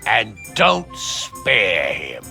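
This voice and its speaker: A man playing a gruff, sardonic medieval nobleman who talks in a blunt, mocking way in a video game. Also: fairly low pitch, huge pitch swings, extremely slow, sharp tone, soft background music, full character voice. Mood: brisk, amused. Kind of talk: dramatic video game acting.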